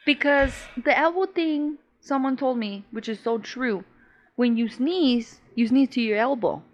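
A woman's voice: high voice, measured pace, lo-fi sound. A woman co-hosting a podcast in casual chat, with giggly, crude banter. English is not her first language. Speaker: podcast co-host.

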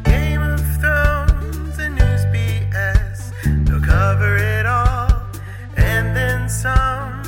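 A woman announcing a podcast intro in a scripted, slow, bright way. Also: sits high. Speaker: show announcer. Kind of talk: scripted intro over music. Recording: over loud music.

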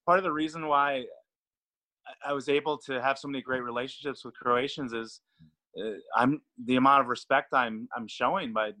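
Bright-voiced stammering man. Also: medium pitch, steady tone, normal speed, rough recording. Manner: stammering, repeats words, laughs easily. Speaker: throwing coach. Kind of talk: casual podcast chat.

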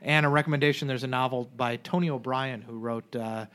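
A man's voice: medium pitch, steady tone, fairly quick, fairly bright tone, clear, resonant tone. A man co-hosting a casual podcast chat, joking and rambling with frequent restarts. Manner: jokey, rambling, restarts often. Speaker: podcast co-host. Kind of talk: casual banter.

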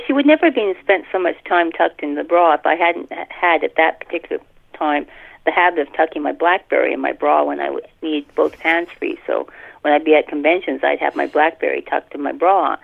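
Female guest author, low gravelly voice with lively swings, effusive, muffled phone-like audio. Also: fairly quick, no room sound. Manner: effusive, exclamatory. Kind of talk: casual animated chat. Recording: dull, phone-like call sound.

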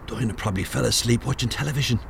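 A man's voice: medium pitch, racing, vocal fry, a little hiss or hum, natural voice. An actor playing a bossy, scoffing, know-all Irish man in a comedy audio drama. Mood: impatient. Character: bossy, dismissive Irish man sneaking about, secretly jittery.

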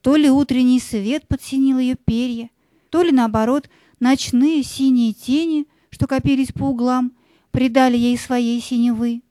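A woman narrating a scripted fairy tale as an expressive storyteller. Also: sits high, measured pace, dry recording.

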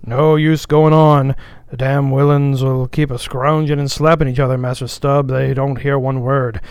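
Storybook role: man playing a grumbling cook of ninety, folksy speech, sullen and tired, medium pitch. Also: little pitch movement, normal speed, a little mellow, clear, resonant tone, no echo, studio quality, close to an everyday voice. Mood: preaching, weary. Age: 90s. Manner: folksy dialect, grumbling and muttering. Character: sullen ninety-year-old ship's cook, grumbling and weary. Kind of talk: audiobook character voice.

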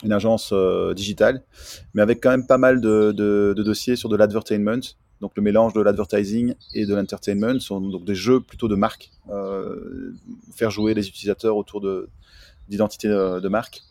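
A man's voice: lowish voice, steady tone, normal speed, vocal fry, mellow, no echo. A man in his forties from Belgium, talking casually and explaining things as a podcast guest, with English marketing terms mixed in. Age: 40s. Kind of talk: casual interview talk. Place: outdoors, remote connection.

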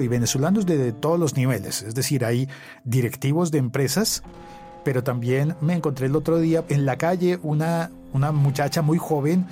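A man's voice: medium pitch, mellow. A man with a Bogotá Colombian accent hosting a conversational podcast interview, telling things in a reflective, storytelling way. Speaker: podcast host.

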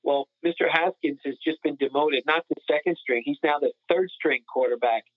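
Guy on a sports podcast, kinda high voice, opinionated, tinny phone sound. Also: normal speed, no room sound. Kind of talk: casual sports discussion. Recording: phone-like narrow-band sound.

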